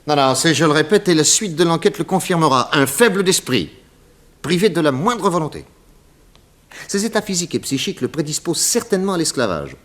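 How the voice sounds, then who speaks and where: Emphatic, swooping detective boss. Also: male voice, fairly high pitch, big pitch swings, a bit bright. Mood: insistent, didactic. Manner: emphatic, didactic, theatrical, with dramatic pauses. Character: confident male detective boss explaining crimes to his assistant. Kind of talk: 1960s French radio detective serial acting.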